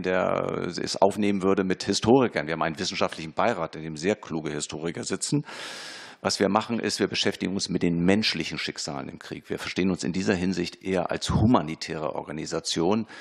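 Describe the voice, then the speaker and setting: A man answering questions as an expert before a parliamentary committee, measured and formal, often pausing with fillers and restarting sentences. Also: low voice, creaky. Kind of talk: formal spoken testimony.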